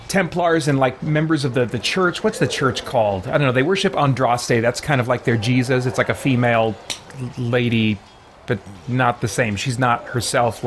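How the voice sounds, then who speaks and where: A man's voice: mid-range voice, slight background noise. A man giving an informal, rambling explanation to a live stream chat, digressing as he goes. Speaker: game livestreamer.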